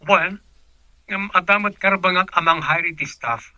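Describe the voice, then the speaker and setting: A man's voice: high voice, a little slow, sharp tone, dry recording, full character voice, poor audio. A man with a Filipino accent playing a businesslike, authoritative company department head in a radio drama. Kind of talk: Ilocano radio drama acting.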